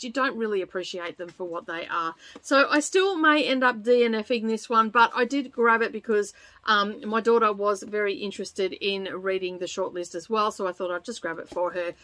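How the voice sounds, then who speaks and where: A middle-aged woman with a fairly high voice presents a book vlog in a casual, chatty way. The sound is dry and close, with little room echo. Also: sharp tone. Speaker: book channel host.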